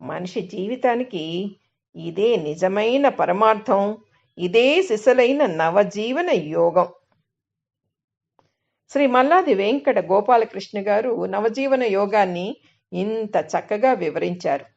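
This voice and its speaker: A woman reading a scripted reflective essay aloud in a measured, instructive manner. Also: medium pitch, lively intonation, vocal fry, darkish tone. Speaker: reader of articles and book excerpts. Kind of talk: scripted reading of a spiritual self-help essay. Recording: dull, lo-fi sound.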